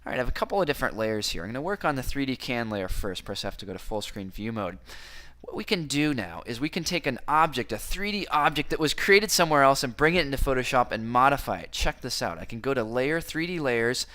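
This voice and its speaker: A man teaching a software tutorial who sounds enthusiastic as he talks through each click, and his voice is slightly hoarse from a cold. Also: medium pitch, animated, quick pace. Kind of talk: screen-recorded software tutorial.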